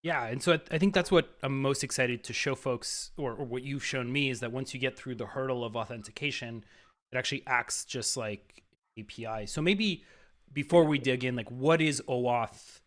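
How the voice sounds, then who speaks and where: A man's voice: mid-range voice, moderate pace, fairly bright tone. Man, host of a live stream, medium voice, casual, upbeat, stammers and repeats himself.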